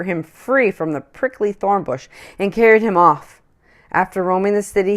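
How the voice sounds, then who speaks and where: Low-pitched, unhurried woman storyteller. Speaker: storyteller reading a children's picture book. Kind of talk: read-aloud children's story narration.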